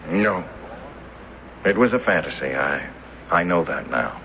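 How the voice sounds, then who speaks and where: An actor in a radio drama playing a mild, earnest schoolteacher who speaks in a halting, hesitant way. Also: male voice, low-pitched, animated, fairly bright tone, exaggerated voice. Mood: hesitant, rueful. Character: earnest mild schoolteacher, former bank teller, recalling a robbery. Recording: dull hissy old radio recording.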